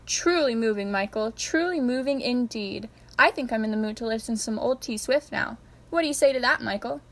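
A melodramatic radio host played by a woman with a high voice. She is theatrical and quick to panic, in the style of a comic radio play. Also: a bit bright. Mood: mock moved.

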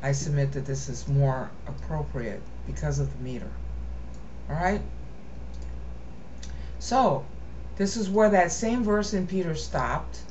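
An American woman with a low voice walks through scripture in an earnest, intense voice-over, often pausing to ask "okay?" The recording is dull and lo-fi, with a faint hiss underneath. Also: darkish tone. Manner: earnest, intense, frequent "okay?" check-ins. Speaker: Bible teacher. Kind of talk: screen-share Bible lesson. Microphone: voice-over on screen recording.

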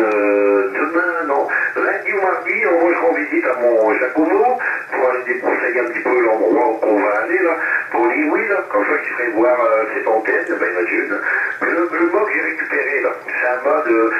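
A man's voice: mid-range voice, expressive, brisk, muffled recording. A man chatting casually as an amateur radio operator, in a rambling, hesitant, repetitive way, his voice coming over a two-way radio. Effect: voice heard over two-way radio. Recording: echoey distant radio speaker, noisy. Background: radio static noise.

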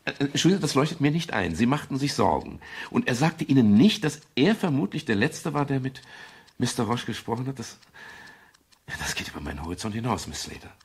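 A man playing a polite but persistent, weary private detective in a 1970s German radio crime drama, with a husky, gravelly voice. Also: medium pitch, sing-song, fairly quick, a little mellow, heavily acted. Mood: questioning. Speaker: actor, lead and narrator.

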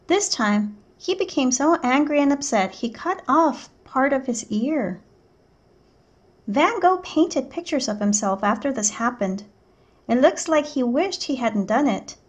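A woman teacher reading a picture book aloud to children in a clear, expressive storytelling manner. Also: sits high, a little slow. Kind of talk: children's read-aloud narration.

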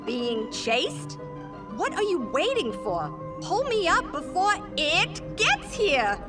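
A woman's voice: extremely high pitch, huge pitch swings, takes it slowly, exaggerated voice. A woman voicing a perky, bossy pink girl ghost in a cartoon game, sassy and impatient. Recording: game music behind voice.

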